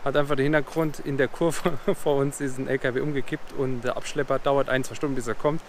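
A man's voice: medium pitch, fairly quick, a little hiss or hum. A man in his thirties with a Palatinate German accent, vlogging casually outdoors to a camera held at arm's length. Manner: chatty, relaxed, laughs often. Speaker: travel vlogger. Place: outdoors, ferry deck and campsites. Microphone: action camera at arm's length.

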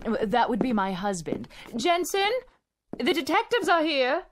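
Fussy, animated female shopkeeper. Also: high voice, unhurried, slight background noise. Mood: flustered. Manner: genteel, gushing, exaggerating. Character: fussy, grasping female antique shop owner. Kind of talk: radio drama acting.

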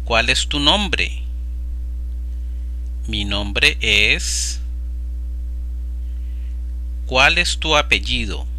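A man's voice: medium pitch, expressive, pushed and bright. A man teaching Spanish, reading practice phrases aloud one at a time with careful pronunciation. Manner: slow, carefully enunciated phrase by phrase. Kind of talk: slow read-aloud vocabulary drill. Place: voice-over over slides.

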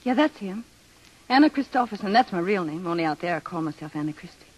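A woman's voice: lowish voice, lively intonation, quick pace, husky, poor audio. Weary, hard-bitten young woman. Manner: slangy, blunt, sardonic. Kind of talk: 1930s radio acting. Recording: vintage 1930s radio broadcast.

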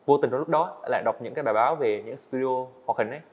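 Quick earnest young man, dull sound. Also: medium pitch. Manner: earnest, candid, hesitant restarts. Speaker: guest animator. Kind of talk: podcast interview storytelling. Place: indoor studio set.

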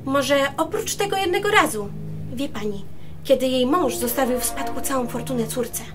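A woman's voice: sits high, moderate pace, music in the background, lightly acted. A woman playing a chatty, deferential, gossipy maid in a radio play, speaking effusively. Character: chatty, gossipy unmarried hotel-style maid, eager to please. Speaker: amateur radio drama actor.

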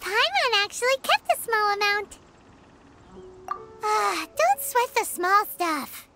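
A tiny floating fairy girl from a video game, squeaks in a very high, childlike voice. She is cheeky and bubbly, with lively swoops and an unhurried pace. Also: heavy background noise, heavily acted. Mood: chirpy. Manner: refers to self in third person, bubbly. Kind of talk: English video game character acting. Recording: game music behind the voice.

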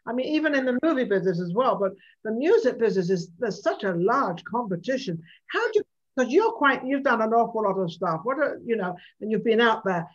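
A woman's voice: a little high, big pitch swings, brisk. An older woman hosting a talk show, asking long, rambling, chatty questions over a video call. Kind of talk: interview questions. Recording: slightly dull video call audio.

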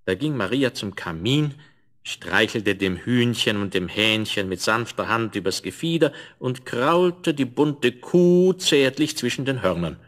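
A man narrating a scripted fairy tale in a measured, expressive storytelling manner. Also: medium pitch. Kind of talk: scripted fairy-tale narration, 1970s German radio play.

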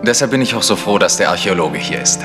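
A male actor plays an earnest, worried village clergyman in a vintage radio play. His fairly low voice explains solemnly over clearly audible plucked guitar music. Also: expressive, fast talker, close to an everyday voice. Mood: earnest, concerned. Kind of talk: 1960s German radio play acting.

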